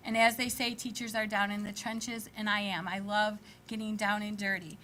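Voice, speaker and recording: A woman, giving an energetic, chatty candidate statement at a public forum. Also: mid-range voice, normal speed, slight background noise. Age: 50s. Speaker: school board candidate.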